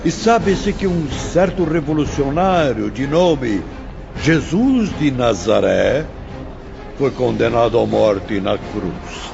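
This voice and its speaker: A man's voice: medium pitch, lively intonation, takes it slowly, creaky. A man playing a solemn spiritual mentor, declaiming to his pupils in a dramatized audiobook. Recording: muffled, music behind.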